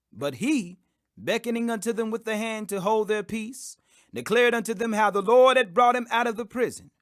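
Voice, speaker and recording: A man's voice: high-pitched, fairly bright tone, dry recording, clean studio sound. A man reading scripture as an audiobook narration, in a solemn, declamatory, phrase-by-phrase delivery. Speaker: Bible reader.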